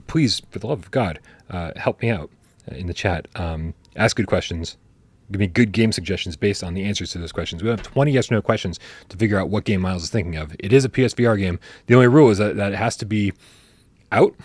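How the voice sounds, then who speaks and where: A low-pitched man hosting a casual gaming livestream, close to a dry mic. He talks in animated bursts, swears casually and restarts his sentences often. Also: quick pace, husky, dry recording. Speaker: podcast host.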